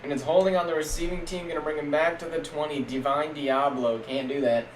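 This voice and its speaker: A young man streaming casual, jokey play-by-play of a simulated football league, getting loud on big plays. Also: on the high side, fairly even intonation, a little fast. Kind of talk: live-stream game commentary. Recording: echoey room with faint background noise.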